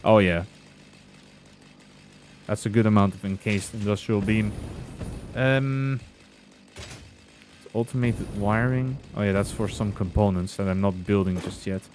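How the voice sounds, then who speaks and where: A young man from Belgium, streaming a game and chatting casually with his viewers in a relaxed way. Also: fairly low pitch, a little slow, pauses often, soft background music. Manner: relaxed, chatty, reading and answering chat. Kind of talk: casual gameplay commentary with chat.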